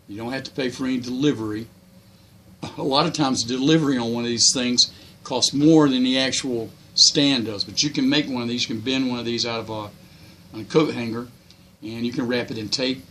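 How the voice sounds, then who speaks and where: A man's voice: mid-range voice, steady tone, normal speed, sharp tone, a little hiss or hum. An older man giving casual, unscripted hobby tips in a folksy, plain-spoken, rambling way. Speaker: hobbyist video host.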